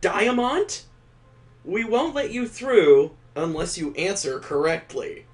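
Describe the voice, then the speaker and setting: Guy doing a little kid voice for a visual novel, excitable, pitched way up. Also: slow, full character voice. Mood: cheeky, excited. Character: excitable little children reciting riddles and squealing.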